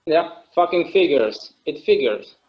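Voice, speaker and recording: A man acting a weary, wistful game obsessive in a casual, halting conversational style at a table read. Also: fairly high pitch, lively intonation, highs cut off. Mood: frustrated, resigned.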